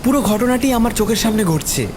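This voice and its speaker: A man's voice: high voice, lively intonation, quick pace, noisy background. A man narrating a dramatic first-person story as its storyteller. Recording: loud music behind the voice.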